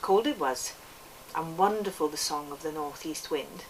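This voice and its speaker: A woman voicing an earnest Welsh boy narrator from a storybook memoir, with a put-on Welsh accent and a lilting, measured, lyrical delivery. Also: low-pitched, natural voice. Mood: earnest, wistful. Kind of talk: expressive literary reading. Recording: distant microphone, background noise.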